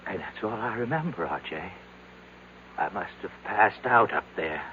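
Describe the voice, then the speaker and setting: Radio drama role: haunted old man, quavering and desperate, very animated pitch, thin noisy vintage recording. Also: lo-fi sound. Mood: dazed, haunted. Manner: halting, pleading, repeating himself. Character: frightened, broken young aide turned seventy-year-old man.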